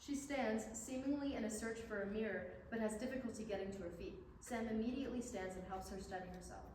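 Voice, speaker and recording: A woman's voice: fairly high pitch, quick pace, a little mellow, lightly acted. A woman reading scripted stage directions as the narrator of a staged play reading. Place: indoor staged reading in an echoey room. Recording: echoey, distant room mic, clear noise.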